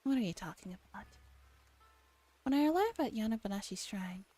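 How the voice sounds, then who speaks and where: A woman streams a soft, relaxed read-aloud in an ASMR style. Her voice is mellow and mid-pitched, moving easily between gentle narration and casual chat. Faint music plays behind her. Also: brisk. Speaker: streamer reading a visual novel aloud and chatting with viewers. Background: background music.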